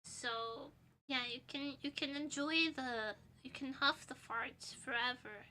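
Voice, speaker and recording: A woman's voice: high voice, takes it slowly, pushed and bright. A young woman streamer whose first language is Portuguese, chatting casually while tipsy, giggling and rambling with crude jokes. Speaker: vtuber streamer.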